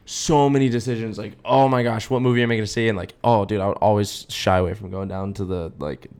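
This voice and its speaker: A man's voice: lowish voice, fairly even intonation, fast, a little mellow, clean tone. A young American man co-hosting a podcast in casual, slangy chat, peppering his talk with dude and bro. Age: young adult. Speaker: podcast co-host.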